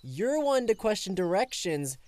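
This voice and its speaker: A mouthy adolescent boy tree creature for a fantasy audio comedy. The delivery is slow and mocking, sliding dramatically up and down with bratty indignation. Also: medium pitch, sing-song, a little mellow, no echo. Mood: snarky.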